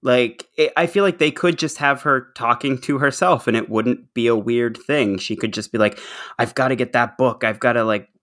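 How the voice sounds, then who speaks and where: A man's voice: medium pitch, moderate pace, fairly bright tone. A man on a podcast panel giving casual opinions emphatically, mock-dramatic and given to acting out examples.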